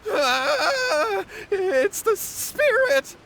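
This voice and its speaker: Flustered, cowardly young schemer. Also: male voice, very high voice, very animated, extremely slow, pushed and bright, slight background noise, heavily acted. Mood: panicked. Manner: pleading, flustered, earnest explaining. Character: nervous, cowardly, scheming hard-up male commoner. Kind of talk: video game voice acting.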